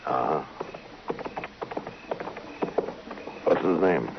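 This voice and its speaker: An actor playing a stern, steady frontier lawman in an old-time radio western, terse, blunt and commanding. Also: rumbling low, moderate pace, gravelly. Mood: probing, dry. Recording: muffled, noisy old radio recording.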